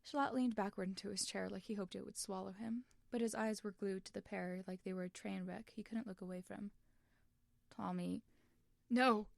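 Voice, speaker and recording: Creaky-voiced woman narrating a dramatic story. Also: medium pitch, steady tone, a little fast, few pauses, a little mellow, no echo, clean studio sound. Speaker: fan fiction narrator. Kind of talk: expressive scripted story narration.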